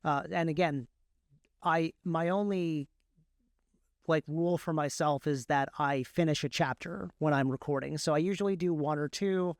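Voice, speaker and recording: A man's voice: fairly high pitch, moderate pace, no echo, clean studio sound. A man explaining his process as a guest in a casual interview, often repeating and revising his phrases as he goes. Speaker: guest, indie author and audiobook narrator.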